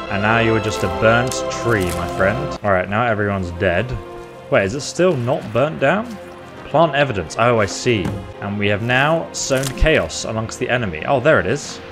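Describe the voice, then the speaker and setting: A man's voice: mid-range voice, sing-song, unhurried, over music. A man giving casual, playful game playthrough commentary as a video host, chatting and reacting aloud as he goes.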